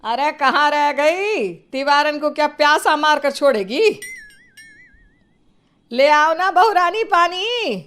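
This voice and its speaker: A woman's voice: high voice, lively intonation, slow, a bit bright, exaggerated voice. A woman voicing an exasperated older mother-in-law in a family storybook tale, bossy, nagging and sarcastic.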